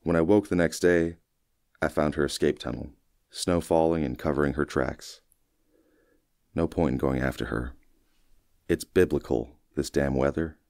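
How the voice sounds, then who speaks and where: A man reading a first-person short story as an audiobook narrator, in a measured, folksy storytelling manner. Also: rumbling low, dark tone, no echo, clean studio sound.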